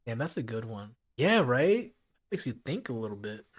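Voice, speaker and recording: A man running a late-night live stream, talking casually and directly to his chat, with his voice gone hoarse from hours of talking. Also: medium pitch, sing-song, normal speed. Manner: casual, chatty, talks straight to his chat.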